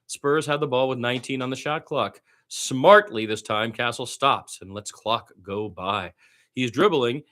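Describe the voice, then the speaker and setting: A man's voice: mid-range voice, bright, forceful tone. A man doing amateur radio-style basketball play-by-play in short clipped phrases, with opinionated asides as a partisan fan.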